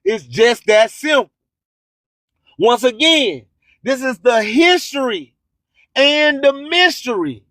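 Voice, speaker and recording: A man's voice: very high-pitched, sing-song, takes it slowly, pauses often, fairly bright tone, dry recording. An American man hosting a radio show, preaching spiritual motivation in a declamatory, repetitive, rhyming delivery. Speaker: radio host.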